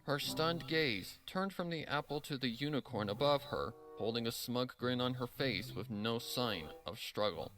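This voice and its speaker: A man's voice: medium pitch, animated, moderate pace, hardly any pauses, a bit bright. A man reading scripted story narration as the narrator. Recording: music under the voice.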